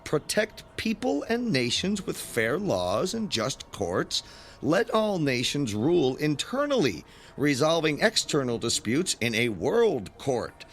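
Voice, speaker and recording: A man's voice: a little high, sing-song, slow pace, slight background noise, heavily acted. A man acting in a radio drama as a skeptical, exasperated everyman with a wry, flippant way of talking. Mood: mocking, defiant. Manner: wry, sardonic delivery.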